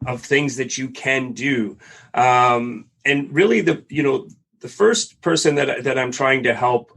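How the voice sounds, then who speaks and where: A man's voice: mid-range voice, a bit bright. A man from Canada, a guest answering interview questions conversationally and often hesitating with ums. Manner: hesitant, many ums and restarts.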